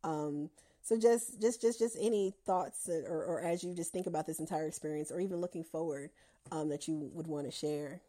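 A woman's voice: deep voice, normal speed, dark tone. A woman interviewing a guest in a casual, enthusiastic way, with many fillers and restarts. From lives in Atlanta.

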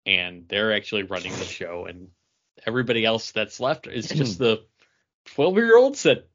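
A man's voice: lowish voice, lively intonation, normal speed, a bit bright. A man co-hosting a casual comic book review, talking emphatically in slangy, repetitive phrases. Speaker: co-host of a comics review show. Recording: dull lo-fi audio.

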